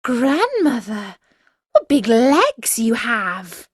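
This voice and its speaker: A woman's voice: on the high side, huge pitch swings, extremely slow, breathy edge, dry recording, clean studio sound, full character voice. A woman voicing an innocent, trusting little fairy-tale girl in an earnest, wide-eyed manner. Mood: amazed.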